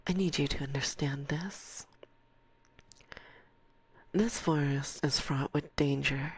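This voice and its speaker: A woman plays an assertive forest witch in a close binaural ASMR roleplay. Her voice is low and husky, often slipping into a soft whisper. She speaks slowly and deliberately, a stern, teasing mentor who is condescending yet fond. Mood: stern, warning. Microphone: close binaural microphone.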